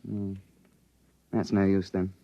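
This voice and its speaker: An actor playing a keen, bookish lieutenant in a 1950s radio drama, polite and a little hesitant. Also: male voice, low-pitched, completely flat delivery, slow pace, warm tone, dry recording. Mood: mulling. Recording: muffled vintage 1950s radio recording.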